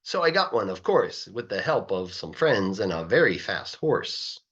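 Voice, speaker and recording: A man reads a children's story aloud in an expressive, sing-song rhythm, stretching words for emphasis like a teacher reading to a class. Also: lowish voice, moderate pace, breathy edge, lo-fi sound. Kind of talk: animated rhyming storybook narration.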